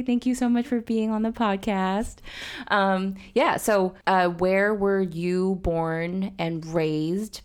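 A woman's voice: lowish voice, gravelly, clear, resonant tone. A woman hosting a podcast, reading the intro and then asking curious, friendly questions. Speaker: podcast host.

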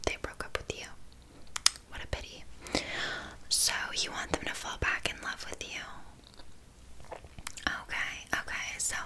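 A woman's voice: unhurried, long pauses, bright, forceful tone. A woman voicing a blunt, vain, chatty town witch in a whispered ASMR roleplay, casually condescending. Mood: sympathetic, businesslike.

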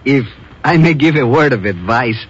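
An actor playing a formal, measured Guatemalan secretary who is politely menacing, in a 1950s radio drama. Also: male voice, mid-range voice, lively intonation, husky. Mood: veiled menace. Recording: dull 1950s radio recording.

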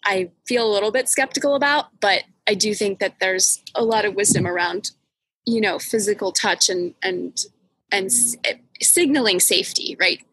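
A woman's voice: medium pitch, moderate pace, fairly bright tone, husky, poor audio. A woman hosting a casual, reflective chat, thoughtful and searching for words. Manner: thoughtful, many fillers and restarts.

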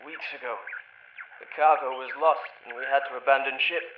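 A man's voice: medium pitch, fairly even intonation, a little fast, close to an everyday voice. Man acting a haunted shipwreck survivor over muffled radio. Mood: haunted, dread. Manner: ornate, archaic storytelling delivery. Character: shipwrecked sailor, sole survivor, exhausted and haunted, insisting on sanity. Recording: muffled, roomy, hissy radio transmission.

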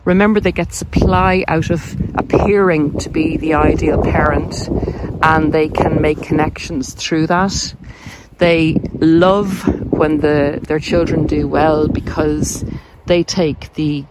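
A woman's voice: deep voice, steady tone, slow pace. A woman giving an informal, explanatory monologue as a podcast host, posing questions and answering them in a conversational way. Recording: loud vehicle and wind noise, clicks.

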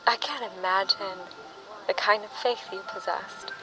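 An actress in a radio play playing a weary, awed young mother, speaking in a hushed, deliberate way. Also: medium pitch, lightly acted, poor audio. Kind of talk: poetic radio play monologue. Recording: clear music under the voice.

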